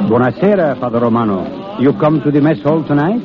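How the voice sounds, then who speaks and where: A man's voice: medium pitch, expressive, fairly quick, over music, lightly acted. Flamboyant, boastful army surgeon, acted by a man. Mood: genial, teasing. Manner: expansive, theatrical, foreign phrasing. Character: flamboyant, boastful Italian army surgeon, fun-loving charmer. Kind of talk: 1930s radio drama acting. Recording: muffled, noisy old radio recording.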